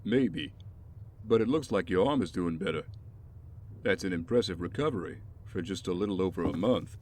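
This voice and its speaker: A man playing a steady, supportive ex-soldier sidekick in an audio drama, conversational and encouraging. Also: low voice, moderate pace. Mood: upbeat. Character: steady, supportive ex-soldier, male partner of a vigilante. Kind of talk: scripted audio drama acting. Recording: background noise with ambience.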